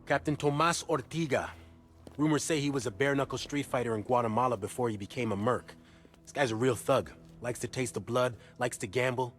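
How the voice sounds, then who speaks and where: An actor playing an intelligence handler who gives a wry, informative rundown of a target. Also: male voice, medium pitch, brisk, faint music behind, close to an everyday voice.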